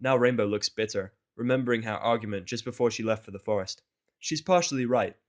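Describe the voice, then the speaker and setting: A male narrator with a medium-pitched voice. He reads scripted first-person story narration for an audio drama. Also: brisk.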